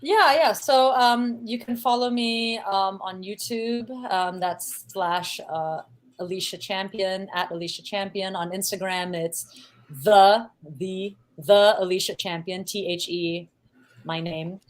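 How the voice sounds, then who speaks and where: A woman with a light Singaporean accent talks easily as an interview guest. She is playful and chatty, laughs often and fills her pauses with "um" as she tells her stories. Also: mid-range voice, fairly even intonation. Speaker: musical guest. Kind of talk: casual interview chat.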